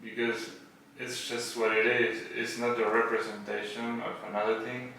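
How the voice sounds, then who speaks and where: A man's voice: mid-range voice, flat delivery, moderate pace, sharp tone. A man from Buenos Aires with an Argentine accent chats casually in an interview, phrasing hesitantly in English as a native Spanish speaker. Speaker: podcast guest, tattoo artist. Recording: roomy echo, distant mic, faint noise.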